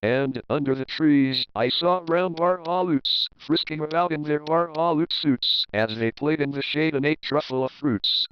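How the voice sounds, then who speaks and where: A man narrating a rhyming children's story as an expressive, theatrical read-aloud with deliberate phrasing. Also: on the high side, clean tone, no echo, poor audio.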